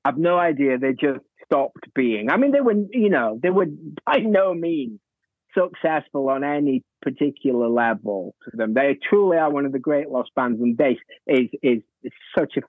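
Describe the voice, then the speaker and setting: A man's voice: mid-range voice, animated, normal speed, no echo. A man, an author guest on a music podcast, talking enthusiastically about a band and often repeating words as he goes. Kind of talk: casual music chat. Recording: tinny phone-like remote line.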